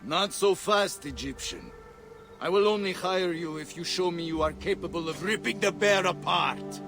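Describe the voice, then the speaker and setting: An actor playing a haughty, sly and commanding arena boss in a video game. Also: male voice, sits high, moderate pace, fairly bright tone. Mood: sly, challenging. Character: haughty male arena boss who hires fighters. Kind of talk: video game cutscene acting. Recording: faint music behind the voice.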